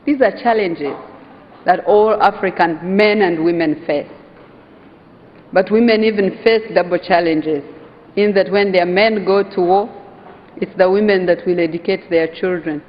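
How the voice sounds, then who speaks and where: A woman from Uganda with a Ugandan accent giving an earnest, emphatic conference speech, slowing down to stress key phrases. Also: fairly low pitch. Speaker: conference speaker, hotel owners' association vice chair. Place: conference hall. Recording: dull sound in a reverberant hall.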